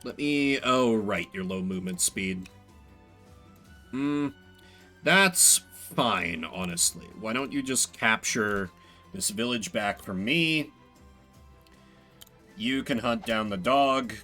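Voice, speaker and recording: Bright-voiced man commentating a strategy game. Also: on the low side, expressive, takes it slowly, long pauses, faint music behind. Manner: musing, talks himself through decisions. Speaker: game streamer. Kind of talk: live strategy game commentary, thinking aloud.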